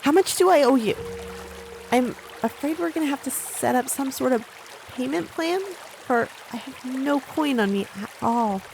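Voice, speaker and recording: A woman's voice: sits high, lively intonation, normal speed, creaky, music in the background. A woman acting a brave, defiant young runaway turned gang member, spirited and determined, in a fantasy audio drama. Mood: grateful, earnest.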